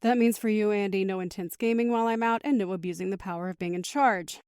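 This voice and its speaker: A woman's voice: medium pitch, fast talker, a little mellow, clean tone, no echo, studio quality, lightly acted. A woman playing a firm, protective, teasing mother of two in an audio drama, instructing patiently.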